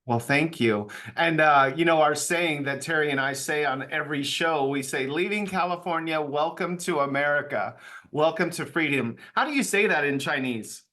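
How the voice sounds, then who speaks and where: A man's voice: a little high, normal speed, pushed and bright. A man hosting an interview, asking long rambling questions with fillers and casual phrasing. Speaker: show host.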